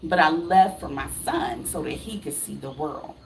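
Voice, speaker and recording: A woman's voice: sits low, lively intonation, normal speed, gravelly, a little hiss or hum, low-quality recording. A woman in her late thirties, from the United States, giving a blunt, preachy motivational talk to camera, full of rhetorical questions and casual swearing. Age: 30s. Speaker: vlogger.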